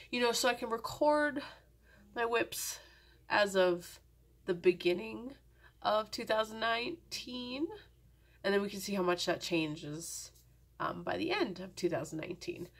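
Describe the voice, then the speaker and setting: A woman talking slowly and unhurriedly to her camera at home, her voice gravelly and stuffy from a cold. She rambles, fills gaps with ums and now and then sinks to a near-whisper. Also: mid-range voice. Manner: rambling, many ums and restarts; drops to a near-whisper at times. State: sniffly with a cold. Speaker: cross-stitch vlogger. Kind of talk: casual chat to camera.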